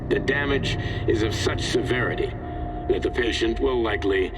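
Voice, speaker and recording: An actor playing a grave scientist who dictates clinical experiment records in a formal, measured way, heard as an echoey, noisy recording. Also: male voice, medium pitch, loud music behind the voice, lightly acted. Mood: cold, detached. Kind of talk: scripted clinical log narration. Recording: echoey distant playback with loud noise.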